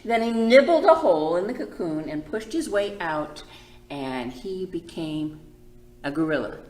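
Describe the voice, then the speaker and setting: A woman's voice: lowish voice, lively intonation, unhurried, a little mellow. A woman hosting a children's storytime, speaking expressively in simple phrases and drawing words out for young listeners. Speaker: children's librarian and storytime host. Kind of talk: children's storytime reading and teaching. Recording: echoey room.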